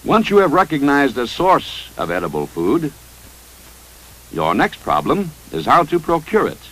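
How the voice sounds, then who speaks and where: A man with an American accent reading a scripted 1950s instructional film narration in a measured, authoritative, instructive manner. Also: a little high, huge pitch swings, a bit bright, poor audio. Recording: 1955 training film soundtrack.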